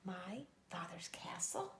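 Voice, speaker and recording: A woman's voice: on the low side, animated, slow, a bit bright, echoey room, in a whisper. A woman voicing a brave, determined girl in a storybook reading, with an emphatic, exclaiming manner. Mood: astonished.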